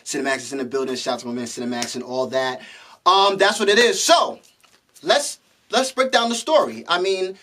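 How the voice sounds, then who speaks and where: Fast-talking male interview host. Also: medium pitch, sharp tone. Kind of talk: hype casual interview.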